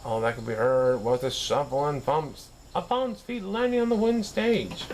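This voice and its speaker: A man's voice: a little high, moderate pace, a little mellow, a little hiss or hum. A man reading a story aloud expressively, occasionally stumbling over a word and correcting himself. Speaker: storybook reader. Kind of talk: scripted story narration read aloud.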